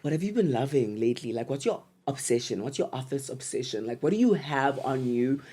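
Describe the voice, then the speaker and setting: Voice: mid-range voice, expressive, brisk, noisy background. A South African talk show host with a mid-range voice. The delivery is casual and slangy, full of gushing praise and words repeated for emphasis.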